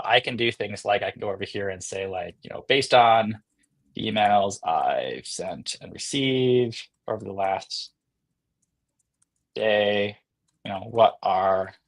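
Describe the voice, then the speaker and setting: A man speaking as an interview guest, explaining and demonstrating software in a casual, conversational style. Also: on the low side. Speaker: podcast guest, company co-founder and CEO.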